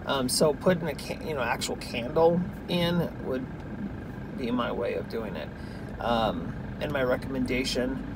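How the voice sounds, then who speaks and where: A man's voice: medium pitch, measured pace, creaky. A man in his thirties chatting casually on a vlog while driving, rambling with lots of ums and restarts, filmed by a dashboard camera inside his car. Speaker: vlogger. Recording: loud road and engine noise. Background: car road noise.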